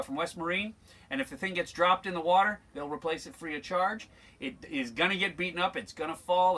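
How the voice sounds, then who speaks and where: Bright-voiced American man teaching boating basics. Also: on the high side. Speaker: sailing instructor. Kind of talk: informal instructional demonstration to camera.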